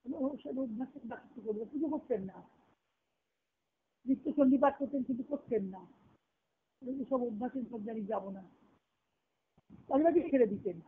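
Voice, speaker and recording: A woman's voice: sits high, brisk, long pauses, slightly rough. A woman giving a devotional discourse as a spiritual teacher, explaining reflectively and repeating key phrases. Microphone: phone conference call. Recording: tinny narrowband conference-call phone line.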